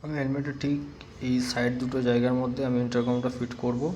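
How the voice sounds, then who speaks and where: Male vlogger, mid pitch, even delivery, plain step-by-step tutorial style, noticeably noisy recording. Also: fast. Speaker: motorcycle vlogger demonstrating a product. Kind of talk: casual hands-on tutorial narration.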